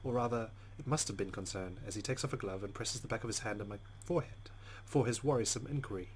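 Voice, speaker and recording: A man playing a game, reading its text aloud dramatically and reacting in a jumpy, expressive way. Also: fairly low pitch, quick pace, slight background noise. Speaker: let's player. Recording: loud game sound behind voice. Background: game audio.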